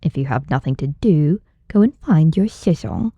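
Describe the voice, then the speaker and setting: Woman as a cold male martial arts master, low and dark, commanding, measured, slightly muffled dry audiobook recording. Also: animated, slightly rough, no echo, exaggerated voice. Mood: stern, commanding. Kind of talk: audiobook character voice.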